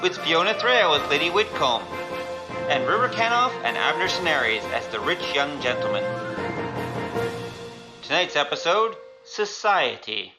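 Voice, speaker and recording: Man, announcer, scripted credits, varied intonation, loud music. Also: a little high, big pitch swings, moderate pace, low-quality recording.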